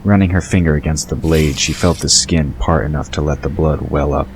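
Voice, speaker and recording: Very deep-voiced male narrator. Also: steady tone, a little fast, a little hiss or hum, poor audio. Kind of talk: scripted audio drama narration.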